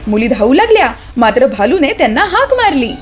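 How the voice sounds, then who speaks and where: A woman narrating a scripted children's story as an expressive storyteller. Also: sits high, fairly quick, soft background music.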